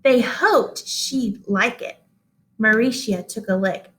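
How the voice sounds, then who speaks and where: A woman with a high voice reads a children's story aloud. She tells it expressively, placing deliberate pauses and emphasis as a teacher would for pupils. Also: fairly bright tone.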